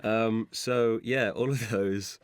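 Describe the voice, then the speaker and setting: A man's voice: fairly low pitch, fairly even intonation, slow pace, clean tone, dry recording, clean studio sound. A man chatting casually and playfully as a voice actor being interviewed, telling stories a little hesitantly. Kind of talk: casual chat answering listeners' questions.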